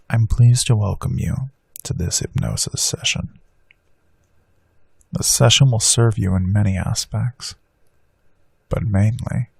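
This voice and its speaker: Man, gravelly low voice, dark and husky, slow calm meditation guide, soft whispering. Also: no room sound. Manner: slow soothing affirmations, drifting into whispers. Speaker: hypnosis guide.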